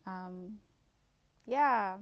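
A nineteen-year-old woman, introducing herself hesitantly with many pauses. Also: mid-range voice, no room sound, highs cut off. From South Africa. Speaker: podcast guest.

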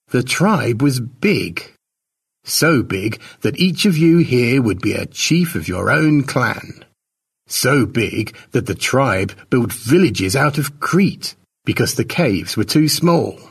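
Guy doing an old-time tribe storyteller, slow n dramatic, husky, pitched up, big swoops, audiobook tale. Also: big pitch swings, distant mic, heavily acted. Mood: grand, wondering.

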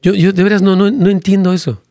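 A male pastor preaching and praying during a live broadcast. He prays in fervent, rhythmic lines with emphatic repetitions, and his pitch climbs as he argues a point. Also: quick pace, no echo, studio quality. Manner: animated, rising pitch when arguing a point. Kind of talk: live-streamed sermon and prayer with Q&A.

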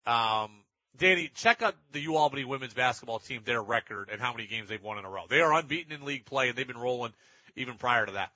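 A man's voice: medium pitch, expressive, fast talker, pushed and bright, no room sound, lo-fi sound. A man hosting a sports talk radio show, giving emphatic commentary and repeating phrases for stress.

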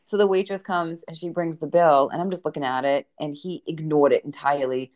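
A woman with a Boston accent, her voice sitting on the low side. She is a guest author telling her stories with energy and acts out each quoted line of dialogue. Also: fairly quick. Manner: animated storyteller who acts out quoted dialogue. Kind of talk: podcast conversation, dating anecdotes.

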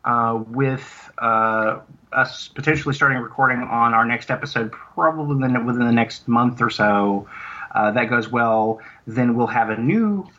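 Male interview guest, bright tense voice, halting casual talk, lo-fi line. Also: medium pitch. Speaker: interview guest, audio series creator. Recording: dull, lo-fi remote sound.

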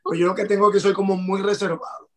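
A man with a Dominican Spanish accent and a high voice talks in a relaxed, storytelling way. He is one of the regulars on a casual panel chat. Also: racing, a loud crowd. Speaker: podcast panelist.

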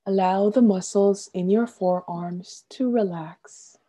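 Slow, very mellow woman guiding relaxation. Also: mid-range voice. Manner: soothing, gentle, deliberate instruction with soft trailing phrase endings. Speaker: guided meditation instructor. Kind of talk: guided relaxation meditation, scripted instruction.

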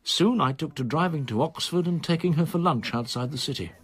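An actor playing a reserved solicitor in his sixties in a radio drama, with a measured, formal, precise manner. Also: fairly high pitch, breathy edge. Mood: quietly confiding. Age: 60s. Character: reserved older male solicitor, in his sixties, quietly confiding.

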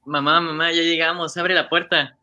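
A man acts a talkative, obedient Peruvian schoolboy in a radio drama. The voice is very bright and somewhat high, recorded dry, with an earnest, reciting delivery. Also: level tone, normal speed, no room sound. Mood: eager. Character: Juancito, eager, talkative, obedient schoolboy son. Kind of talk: amateur Spanish-language radio drama acting.

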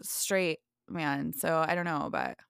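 A woman from the Midwest co-hosting a podcast, talking in a rambling, animated way full of likes. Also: low voice, slow, vocal fry, smooth, no echo, clean studio sound. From American Midwest. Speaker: podcast co-host. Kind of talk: casual podcast chat.

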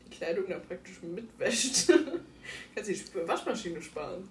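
A woman's voice: medium pitch, sing-song, a little slow. A young woman in casual vlog chat, teasing her friend and often breaking into laughter. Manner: teasing, giggly. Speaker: vlog co-host. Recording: echoey room with faint hiss.

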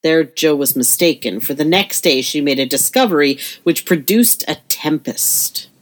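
A woman's voice: deep voice, moderate pace. A woman giving scripted storybook narration as the narrator of an audio play.

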